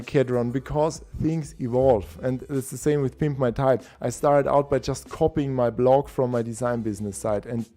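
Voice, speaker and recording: A man chats through a live stream with easy enthusiasm and a slightly hoarse edge. His voice is dark and mellow, and faint music plays behind him. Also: medium pitch. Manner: enthusiastic, chatty, with laughs and restarts. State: hoarse, a bit unwell. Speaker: host of a typography livestream. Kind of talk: casual live-stream chat.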